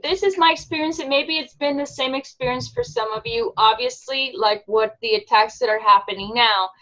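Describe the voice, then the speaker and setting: A woman's voice: a little high, moderate pace, pushed and bright. Earnest woman on lo-fi call. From Puerto Rico. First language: Spanish. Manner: earnest, searching for words. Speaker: call participant. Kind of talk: casual group call. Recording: dull lo-fi call audio.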